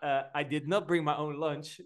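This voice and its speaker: A man's voice: a little high, steady tone, normal speed, clean tone. A man hosting a podcast, chatting casually and telling stories, with many restarts. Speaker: podcast host. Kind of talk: casual interview chat.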